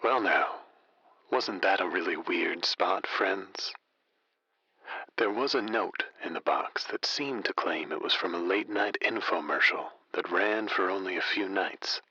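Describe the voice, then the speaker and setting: A deep-voiced, mysterious radio host, folksy and conspiratorial. The voice is husky yet very forceful and sharp-edged. It is recorded close and dry, as in late-night radio drama. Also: male voice, dry recording, highs cut off, full character voice. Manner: deliberate, intimate, conspiratorial.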